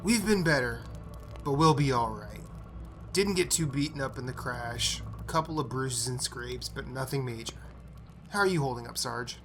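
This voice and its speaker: A man's voice: medium pitch, normal speed, music in the background, lightly acted. Man playing a stoic, understated male Marine. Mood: weary, reassuring. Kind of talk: fan-made audio drama acting.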